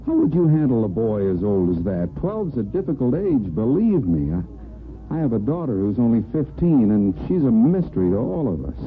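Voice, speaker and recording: A man's voice: mid-range voice, a little hiss or hum. An actor playing a concerned, blunt, middle-aged American friend and father in a 1950s radio soap opera. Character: concerned, blunt, skeptical male friend with a teenage daughter. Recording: tinny, muffled vintage radio broadcast recording.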